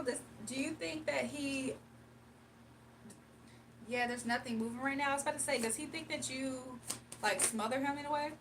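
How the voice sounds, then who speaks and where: A young woman with a fairly high voice chats loosely with her live viewers and laughs easily. She sits a little away from the mic, and faint room noise runs under her voice. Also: far from the mic. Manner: chatty, laughs often, reads chat aloud. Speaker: livestream tarot reader. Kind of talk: casual live chat and tarot reading.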